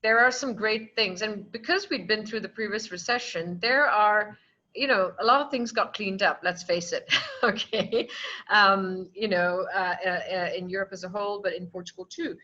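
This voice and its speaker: Bright-voiced woman on a dull video call. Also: mid-range voice, moderate pace. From Singapore. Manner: animated, reasoned, laughs often. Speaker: company CEO, association president. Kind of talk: virtual panel discussion.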